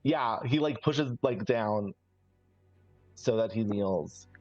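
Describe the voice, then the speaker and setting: A man improvising story narration as a player in a live tabletop roleplaying game, with halting, searching, thoughtful phrasing. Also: fairly low pitch, darkish tone, no echo, lo-fi sound, faint music behind.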